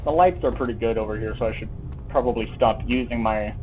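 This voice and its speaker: Male game streamer: casual, offhand, playful self-talk, medium pitch, tinny band-limited sound. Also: fast talker. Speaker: live streamer. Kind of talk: casual game playthrough commentary. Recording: tinny narrow-band stream audio, faint noise.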